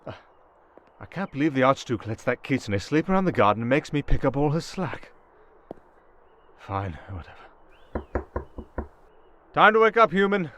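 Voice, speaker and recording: A man's voice: medium pitch, very animated, normal speed, pauses often, a bit bright. A man playing a grumpy, put-upon, work-shy servant of a vampire lord in an ASMR roleplay, casual and exasperated.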